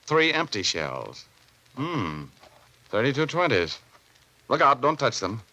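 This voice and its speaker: A man's voice: medium pitch, very animated, slow, pushed and bright, full character voice. A man playing a cocky, flirtatious private detective in 1940s radio drama style, wisecracking in slangy banter and narration. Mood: brisk, cocky. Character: wry, hard-boiled male private detective, flirtatious and cocky. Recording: vintage radio broadcast with music.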